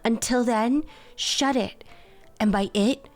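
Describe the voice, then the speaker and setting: A woman's voice: fairly high pitch, slow, faint music behind. A woman voicing a haughty, combative internet commenter with a dramatic, scornful manner. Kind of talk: dramatized reading of online comments.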